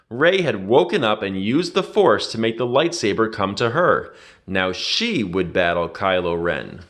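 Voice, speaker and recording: A man's voice: medium pitch, fairly bright tone. A man reading a children's adventure story aloud with dramatic, emphatic storytelling. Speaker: storybook reader.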